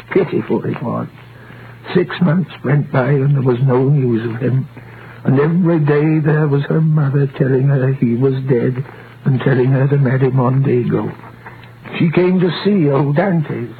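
A gossipy, hard-drinking witness in a vintage radio play. His gravelly voice lingers on words with knowing, mournful emphasis. The recording is tinny and narrow, with slight noise. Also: mid-range voice. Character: gossipy, hard-drinking male witness recounting a sad scandal. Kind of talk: 1930s radio acting.

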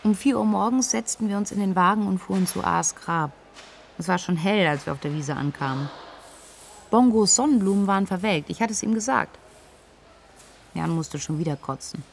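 An actress playing a young student in a radio play, recalling a drunken night of grief in a candid, dry, conversational way. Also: female voice, mid-range voice, normal speed, creaky, a little hiss or hum, lightly acted. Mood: subdued, wry. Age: young adult. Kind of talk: interview-like monologue in a radio play.

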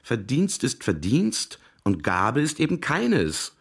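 A man's voice: medium pitch, huge pitch swings, slow pace, vocal fry, slightly rough, heavily acted. An actor playing an earnest, good-natured young man in a German radio drama, insistent and plain-spoken.

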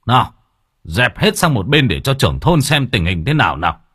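A man voicing a stern older village chief who barks orders in a gruff, commanding way. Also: low voice, animated, a little fast, fairly bright tone, no room sound, clean studio sound, heavily acted.

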